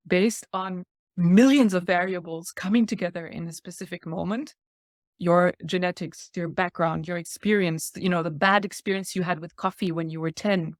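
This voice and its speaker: A woman's voice: on the low side, no room sound, clean studio sound. A woman in her forties who grew up in Thailand, a podcast guest explaining ideas earnestly and laughing lightly between points. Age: 40s. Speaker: guest speaker. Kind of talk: reflective podcast talk.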